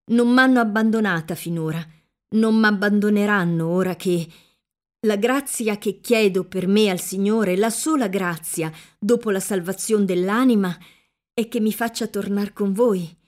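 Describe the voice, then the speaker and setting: A woman voicing a devout, tearful young village woman who speaks gently and pleadingly. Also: mid-range voice, unhurried, lightly acted. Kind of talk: audiobook character reading.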